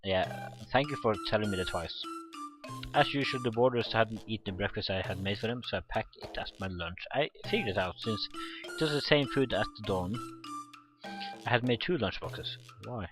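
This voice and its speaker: A man hosting a let's play, reading visual novel text aloud in a casual, halting way with asides. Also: lowish voice, animated. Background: game music.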